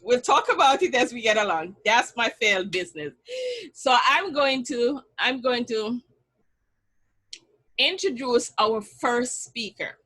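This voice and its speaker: A woman's voice: on the high side, animated, measured pace, a bit bright, rough recording. A middle-aged woman with a Jamaican accent, hosting an online summit in a chatty, upbeat way and telling personal stories. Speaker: summit host. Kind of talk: casual online event introduction and storytelling.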